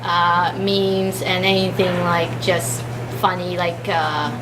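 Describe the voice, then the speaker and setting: A woman's voice: fairly low pitch, level tone, slow pace. Young woman over noticeable room noise. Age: young adult. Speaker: student club member being interviewed. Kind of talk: casual chat.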